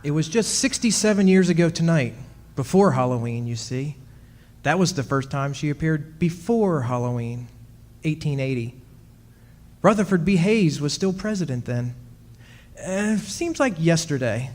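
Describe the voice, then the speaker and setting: An actor playing a wry, centuries-old henpecked husband of a witch in a radio play, telling his story in measured dramatic style. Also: on the high side, animated, a little mellow, natural voice. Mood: wry, reminiscing. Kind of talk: dramatic first-person radio play narration. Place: stage performance in a community club hall. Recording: echoey hall, music behind.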